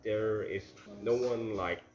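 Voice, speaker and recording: Young male student, Korean accent, low halting delivery, echoey with music behind. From South Korea. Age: young adult. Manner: halting, fragmentary English. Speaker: student interviewee.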